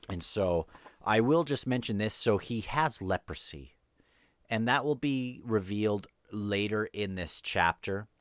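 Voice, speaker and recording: A man's voice: fairly low pitch, fairly bright tone, no room sound, studio quality. A man hosting an unscripted read-along book discussion, thinking aloud in a hesitant, rambling way.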